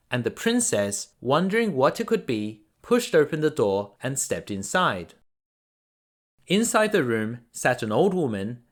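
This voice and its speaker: Slow, expressive male storyteller. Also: medium pitch. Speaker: storyteller, dad reading to children. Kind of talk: scripted bedtime story narration.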